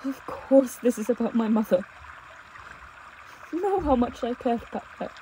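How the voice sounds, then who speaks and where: Casting: woman as devoted young runaway pastor's daughter, audio drama; slightly high, tender, emotive voice over faint water ambience. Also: lively intonation, moderate pace, dark tone, a little hiss or hum, close to an everyday voice, rough recording. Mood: grieving. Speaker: audio roleplay performer. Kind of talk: intimate dramatic audio roleplay acting. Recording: nature ambience with trickling stream water. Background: outdoor ambience sound effects, running water.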